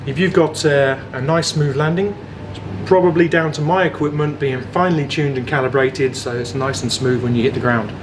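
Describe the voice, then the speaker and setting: A man explaining technical equipment in a clear-cut presentation as an engineering head. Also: fairly high pitch, steady tone, a little fast. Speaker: head of air traffic engineering. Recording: loud equipment and air-conditioning hum.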